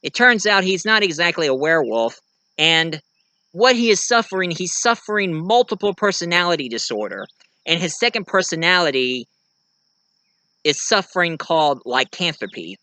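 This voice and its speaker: A man giving a casual show-and-tell of his own fiction, rambling and halting mid-sentence to correct himself. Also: on the high side, sing-song, pushed and bright, no room sound. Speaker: amateur writer and online video creator.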